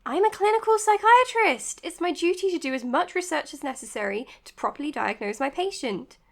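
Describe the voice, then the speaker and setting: A woman playing an earnest, dutiful psychiatrist in an audio drama, speaking in a measured, precise, professional way. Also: extremely high pitch, lively intonation, vocal fry, full character voice. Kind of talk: audio drama acting as spoken case notes and dialogue.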